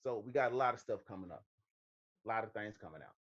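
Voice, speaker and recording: A man's voice: lowish voice, animated, quick pace, a bit bright, lo-fi sound. A man co-hosting a movie talk show, chatting casually in an emphatic, sweary way. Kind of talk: casual chat.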